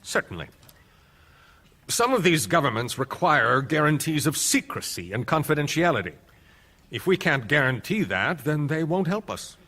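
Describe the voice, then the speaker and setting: An actor playing a defensive government official testifying on the stand in a courtroom radio drama. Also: male voice, fairly high pitch, big pitch swings, normal speed, sharp tone, heavily acted. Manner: defensive, evasive. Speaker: government witness.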